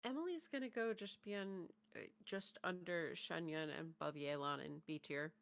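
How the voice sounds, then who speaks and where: A woman's voice: fairly low pitch, moderate pace, gravelly, smooth. A woman co-hosting a reaction show in casual conversation.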